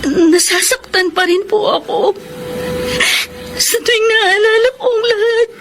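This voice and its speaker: A woman's voice: very high voice, measured pace. A woman voicing a wounded, honest young woman. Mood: tearful. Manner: soft-spoken, tearful at times. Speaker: Chona, young daughter. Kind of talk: radio drama acting. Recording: radio drama with clear background noise.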